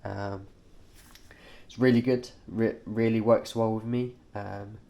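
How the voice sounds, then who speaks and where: A man speaks in a fairly low, nasal voice, congested from a cold. He takes his time, moving very slowly through short, halting phrases. The delivery is informal and offhand, like a vlogger showing his gear. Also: little pitch movement, gravelly, mellow, lo-fi sound. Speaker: cyclist presenting his race kit. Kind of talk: informal vlog, kit walkthrough.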